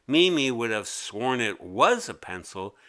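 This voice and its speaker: Male storybook narrator: expressive, deliberate pacing, bright tone. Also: medium pitch. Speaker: author narrating his own children's story. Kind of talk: scripted children's story narration.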